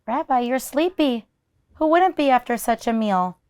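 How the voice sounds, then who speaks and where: A woman's voice: high-pitched, lively intonation, moderate pace, a little mellow, clean tone, pitched above the performer's own voice. A woman voicing a kindly, chatty elderly grandmother in a children's storybook, fussing and exclaiming as she hosts. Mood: fond.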